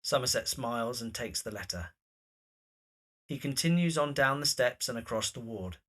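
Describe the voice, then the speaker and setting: A man's voice: mid-range voice, lively intonation, normal speed, fairly bright tone. A man narrating the scenes of an audio drama from a script.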